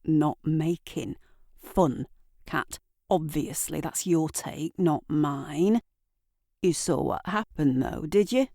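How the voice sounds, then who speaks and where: A woman's voice: deep voice, takes it slowly, mellow, no room sound, heavily acted. Audio drama role: taunting, pushy male neighbour, needling and smug, played by a woman narrator, dry studio sound. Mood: mocking, needling. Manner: mocking, needling.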